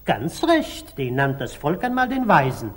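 An actor playing an excitable, blunt, grumbling dervish turned treasurer, agitated and theatrical in 1940s German radio drama style. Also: male voice, fairly high pitch, unhurried. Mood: flustered, evasive. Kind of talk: 1940s German radio stage-classic acting.

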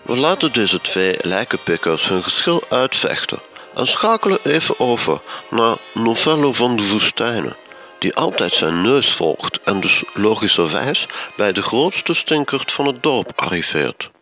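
Lively, low-voiced narrator. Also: male voice, unhurried, long pauses, faint music behind, exaggerated voice. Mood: wry. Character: wry, theatrical male storyteller of gothic comedy. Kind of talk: scripted comic narration.

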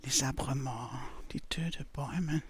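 Slow, musing woman outdoors. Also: very deep voice, slightly rough. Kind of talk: multilingual poetic observation. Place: outdoors in a forest.

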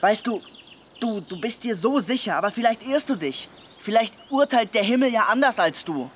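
A man's voice: sits high, expressive, moderate pace. A male actor playing a blunt, bitter, estranged man in a German radio drama, curt and controlled. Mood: reproachful. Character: bitter, blunt man, estranged old friend grieving his brother. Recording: clear ambient noise behind voice.

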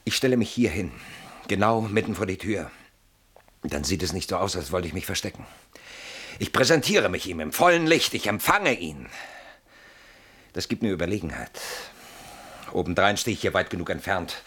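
Very deep-voiced, haunted, brooding man. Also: lively intonation, normal speed, long pauses, fairly bright tone, breathy edge, full character voice. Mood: tense, urgent. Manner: ruminating, bitterly ironic, theatrical phrasing. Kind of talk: German radio play acting.

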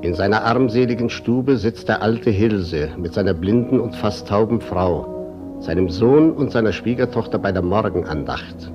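A man narrating a tragic radio play in a measured, solemn manner. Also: lowish voice, lightly acted. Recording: dull old radio recording, music behind.

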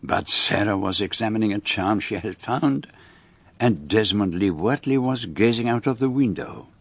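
An actor playing a fastidious gentleman detective with a Belgian French accent, narrating his story formally in a radio drama. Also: fairly low pitch, lively intonation, husky, full character voice. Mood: hushed, observant. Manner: precise, formal, measured. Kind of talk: scripted first-person narration in radio drama.

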